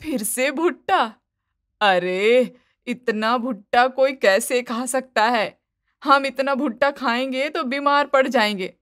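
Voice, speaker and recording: A woman acts out a middle-aged mother-in-law in a cartoon story. Her voice is fairly high and recorded dry, with an impatient, scolding, exasperated manner. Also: slow pace, fairly bright tone, dry recording. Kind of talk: animated moral story dialogue.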